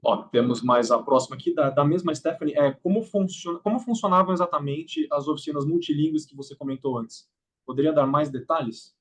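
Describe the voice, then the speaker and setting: A man moderating a Q&A session, briskly reading out audience questions. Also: a little high. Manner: brisk, reads questions aloud.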